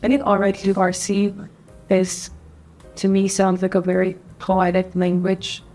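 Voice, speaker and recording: Very even-toned, mellow woman. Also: mid-range voice, measured pace, soft background music. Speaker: reaction video guest. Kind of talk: casual commentary.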